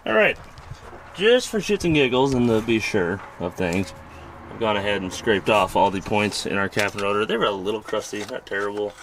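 A laid-back man with a lowish voice talks through a repair job, rambling a little and swearing casually. He sounds relaxed and hands-on, explaining as he works outdoors by a vehicle, with faint noise in the background. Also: moderate pace. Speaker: host and mechanic.

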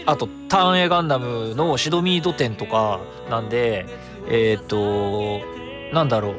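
A man's voice: mid-range voice, moderate pace. A man hosting a solo radio show in casual talk, chatty and rambling, thinking aloud and correcting himself as he goes. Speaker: radio host. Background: background music.

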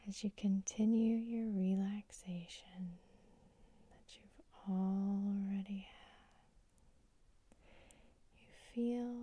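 A woman whispering softly as the host of an ASMR sleep hypnosis session, guiding the listener in a slow, soothing way. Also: mid-range voice, breathy edge. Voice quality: soft whisper.

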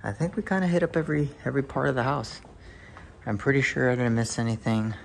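A young man filming a casual home tour on a handheld phone, talking to viewers with excited exclamations and lots of fillers. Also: medium pitch, fast, vocal fry, a little hiss or hum. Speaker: real estate video host. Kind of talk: casual walkthrough narration. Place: inside a large empty house.

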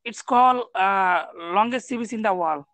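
A young man from Bangladesh, a student practicing English in halting, broken sentences full of pauses. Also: high voice, a bit bright, no room sound. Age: young adult. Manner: hesitant, broken English, many uh fillers.

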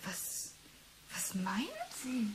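A woman's voice: mid-range voice, slow, lightly acted. An actress playing an investigator's sceptical female colleague in a radio drama. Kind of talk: 1980s German radio drama acting. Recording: noisy 1980s radio play recording.

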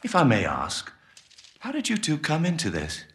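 An actor with an English accent playing a suave, coolly menacing dealer in a video game, formal, measured and polite. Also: male voice, on the high side, huge pitch swings, fairly bright tone. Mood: polite, guarded. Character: suave, coolly menacing well-dressed male dealer in shady trades. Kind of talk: video game cutscene acting.

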